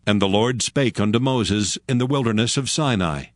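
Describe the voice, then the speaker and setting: A man reading scripture as a narrator in a solemn, measured, dramatized style. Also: on the low side, no echo, clean studio sound. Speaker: Bible narrator. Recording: music under the voice.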